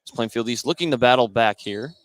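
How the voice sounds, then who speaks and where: A man's voice: mid-range voice, a little fast, clear, resonant tone, rough recording. An American man doing play-by-play radio commentary of a basketball game, following the action in short running phrases. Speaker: play-by-play sports announcer. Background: basketball game in a gym.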